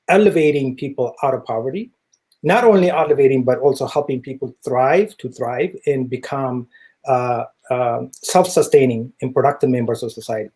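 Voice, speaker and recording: A middle-aged man with an East African accent speaks in a mellow, relaxed voice of medium pitch. He explains his points as an interview guest, earnest and measured, often feeling for the next phrase. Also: slightly rough. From East Africa, Ethiopia and Kenya. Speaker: interview guest, tech entrepreneur.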